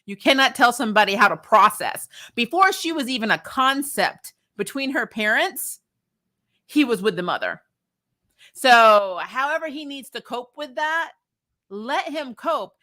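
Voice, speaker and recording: Bright-voiced woman, casual opinionated reviewer. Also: on the high side, a little slow. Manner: animated, slangy and sweary, breaking into laughter; acts out dialogue in mocking quotes. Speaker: host of a TV recap show.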